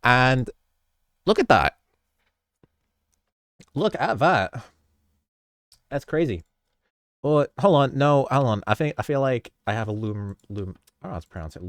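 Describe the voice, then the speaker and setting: A man's voice: medium pitch, lively intonation, measured pace, pauses often, no room sound, clean studio sound. A man with a stuffy, slightly sick voice, rambling through a casual behind-the-scenes talk about his video edits, often stopping himself mid-sentence and chuckling.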